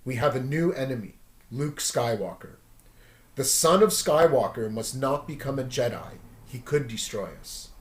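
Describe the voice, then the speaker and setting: A man's voice: medium pitch, animated, normal speed, reverberant, lightly acted. A man voicing a sinister, scheming old Emperor in a story read aloud. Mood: grave, menacing.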